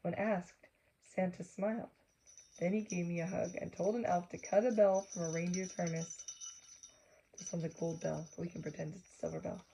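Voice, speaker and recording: A woman's voice: on the low side, moderate pace, vocal fry, a little hiss or hum, rough recording. A woman reading a children's book aloud expressively, stopping to chat with and question her young listeners. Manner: animated, acts out exclamations, asks listeners questions.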